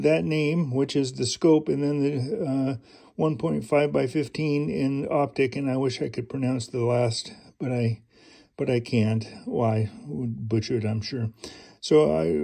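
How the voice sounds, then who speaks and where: A middle-aged man in a casual hands-on show-and-tell, halting with many fillers and restarts, recorded indoors by an overhead first-person camera. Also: a little high, vocal fry, mellow. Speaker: collector showing an air pistol. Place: indoors at home, carpeted floor.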